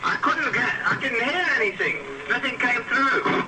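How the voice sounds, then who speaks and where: A flustered, halting male reporter speaking off the cuff over a radio link. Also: very high voice, animated. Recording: noisy distant two-way radio link.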